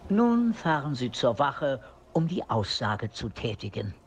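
A man's voice: mid-range voice, sing-song, measured pace. A man narrating a crime radio play as its scripted storyteller. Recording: faint background noise with birdsong.

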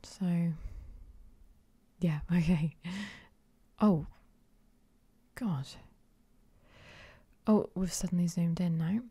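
A woman whispers right into a close microphone for an ASMR try-on, her voice breathy and mellow in a dry, deadened space. She sits a little low in pitch and keeps trailing off, hesitant and unsure, with plenty of soft ums. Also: very slow, pauses often, no room sound. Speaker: ASMR creator.

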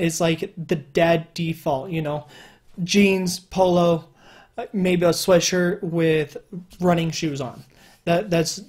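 A young man with a high-pitched voice that people on the phone often take for a woman's. He talks casually to a webcam from his room at home, rambling with fillers and restarts. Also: a little mellow. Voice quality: higher-pitched male voice, sometimes mistaken for a woman. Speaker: YouTuber. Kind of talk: casual solo commentary.

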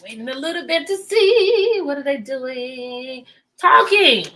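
A middle-aged American woman reading aloud to children as a teacher, animated and chatty, often asking questions. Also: high voice, takes it slowly. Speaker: teacher and read-aloud host. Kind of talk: interactive children's read-aloud lesson. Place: indoor room with bookshelves.

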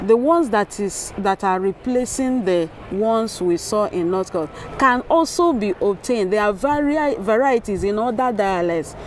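A middle-aged woman with a Nigerian accent gives an explanatory academic talk at an unhurried, slow pace. She speaks haltingly, rephrasing as she goes, at an average pitch. She is recorded outdoors on a clip-on mic, with faint ambient noise behind her. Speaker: academic linguist. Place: outdoors in a yard with sculptures. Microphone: clip-on lapel microphone.

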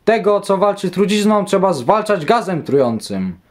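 A high-pitched man reads historical speeches and announcements. His delivery is solemn and scripted, suited to a radio documentary. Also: sing-song, normal speed.

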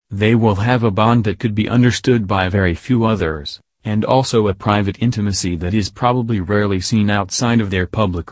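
A man with a fairly low voice reads a scripted news narration. His delivery is even and flat, with no fillers or slips. The sound is dry and close, with no room around it. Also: no room sound.

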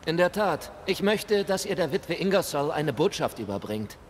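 An actor playing the embittered, polite ghost of a colonial shop clerk, with formal, theatrical delivery in a video game. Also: male voice, fairly high pitch, very animated, moderate pace. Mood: indignant, insistent. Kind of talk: video game dialogue acting. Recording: hall reverb, noisy ambience.

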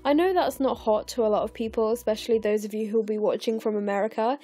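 A young English woman in her twenties, narrating her scrapbooking in a chatty, rambling way with lots of fillers, her voice croaky and tired late at night. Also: a little high, fast, mellow. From England. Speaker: scrapbooking vlogger. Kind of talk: casual voice-over narration of a craft process. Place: home, recorded late at night.

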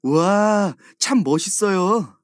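A man voicing a friendly young learner in textbook dialogues, with clear and careful diction. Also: sits high, expressive, takes it slowly. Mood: polite. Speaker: dialogue voice actor.

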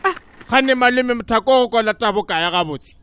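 A man's voice: very high voice, expressive, quick pace, fairly bright tone, smooth. A man voicing a sarcastic, grumbling South African cartoon sidekick with a mocking, streetwise manner. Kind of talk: comedic cartoon dialogue.

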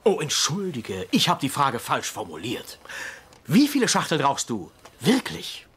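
An actor playing a caustic, mocking senior hospital doctor in a TV comedy dub, sardonic and belittling. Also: male voice, fairly high pitch, wildly swooping pitch, unhurried, fairly bright tone, husky, exaggerated voice. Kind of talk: German-dubbed TV comedy acting.

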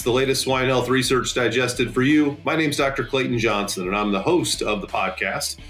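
A middle-aged man hosts a podcast in a medium-pitched voice. He presents and puts questions to a guest over a video call, with faint music sometimes behind him. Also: fairly bright tone. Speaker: podcast host. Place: home office indoors.

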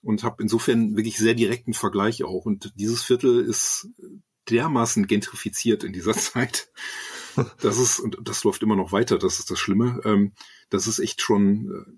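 A man's voice: on the low side, steady tone, normal speed, husky. A man in his forties from the Ruhr area with a light Ruhr accent, answering interview questions in a hesitant, casual way. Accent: Ruhr German. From Essen, Germany. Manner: hesitant, many ums and restarts. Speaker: podcast guest, writer and project manager.

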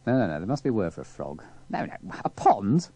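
Man, mellow but gravelly, animated, rambling radio ad-lib. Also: on the low side, quick pace.

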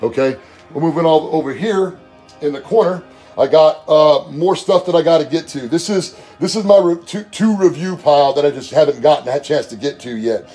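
A man's voice: on the high side, moderate pace, slightly rough. A man in his forties with a slightly raised voice talks through his collection in a loose, rambling way, full of "uh"s and restarts. He is filmed on a handheld phone in a room at home. Faint music plays behind him. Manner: rambling, relaxed, many fillers and restarts. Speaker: collector giving a room tour. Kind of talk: casual home tour vlog. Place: home game and toy room, indoors. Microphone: handheld phone camera.

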